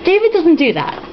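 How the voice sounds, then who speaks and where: A woman reading a novel aloud in a casual, comic way, with sarcastic, foul-mouthed asides. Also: very high-pitched. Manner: tipsy drinking-game reader. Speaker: host reading a novel aloud with mocking commentary. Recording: dull lo-fi with faint hiss.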